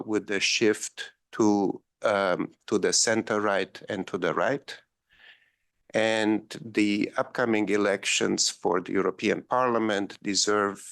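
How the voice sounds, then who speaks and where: A man's voice: on the low side, creaky, a bit bright, smooth, no echo, clean studio sound. A man from Romania giving a policy panel talk, with frequent ums and wry asides. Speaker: panel speaker.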